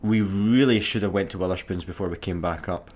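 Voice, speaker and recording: An actor with a Scottish accent playing a daft, easygoing janitor in a sci-fi comedy radio play, casual and obtusely deadpan. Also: male voice, low voice, fairly quick. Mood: wry, casual. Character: daft, easygoing, literal-minded male slacker janitor.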